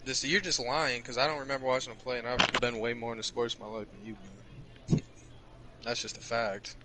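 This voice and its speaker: A man's voice: medium pitch, level tone, brisk, noisy background. A man of nineteen teasing and arguing with a friend in casual chat while gaming. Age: young adult. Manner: teasing, argumentative, swears freely. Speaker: host.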